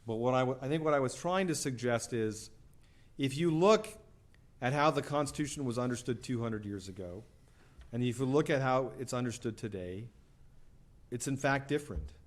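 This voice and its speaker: A man's voice: mid-range voice, normal speed. A middle-aged man, a law professor, answering audience questions after a lecture in a casual, conversational way, often adding "right?" and "you know". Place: lecture hall.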